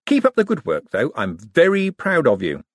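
A man's voice: fairly high pitch, huge pitch swings, moderate pace, dry recording, clean studio sound, pitched above the performer's own voice. A man voicing a glib, persuasive male con man turned banker. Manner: smooth and persuasive.

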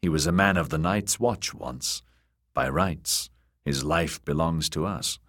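A man's voice: a bass voice, measured pace, a bit bright, full character voice. A man voicing a stern, weary young military commander in an audiobook, terse and used to giving orders. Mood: grave.

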